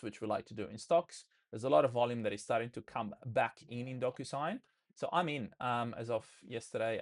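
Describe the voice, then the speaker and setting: A man with an Australian accent, hoarse and losing his voice, walking viewers through trades in a loose live session full of fillers and restarts. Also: medium pitch. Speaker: trading educator. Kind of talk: live trading session walkthrough.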